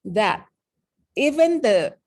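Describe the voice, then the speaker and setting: A woman's voice: medium pitch, big pitch swings, slow pace, darkish tone. A woman with a mixed Thai and British accent, teaching a lecture on meditation and often checking in with "okay?". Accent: Thai and British mix. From Thailand. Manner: explaining with frequent "okay?" checks.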